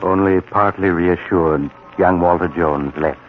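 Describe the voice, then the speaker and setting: An ominous doctor of madness narrating radio horror. His voice is deep, slow and solemn. The old recording is very narrow in tone. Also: loud music behind the voice. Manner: solemn, measured. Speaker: host and narrator.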